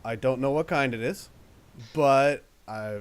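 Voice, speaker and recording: A man's voice: medium pitch, unhurried, clean tone. A man on a casual podcast, sounding nasal from a cold, chiming in with easygoing asides. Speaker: podcast co-host. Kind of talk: casual chat.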